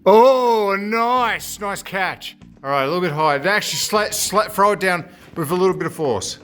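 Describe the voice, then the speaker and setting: A man's voice: sits high, expressive, moderate pace, fairly bright tone, faint music behind. A man giving a casual hands-on product review in a plain-spoken, matter-of-fact way.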